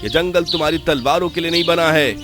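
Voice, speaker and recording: A man's voice: on the high side, fairly even intonation, quick pace, over music, natural voice. Man playing a noble, earnest young cartoon prince. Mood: resolute. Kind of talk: Hindi cartoon moral-story voice acting.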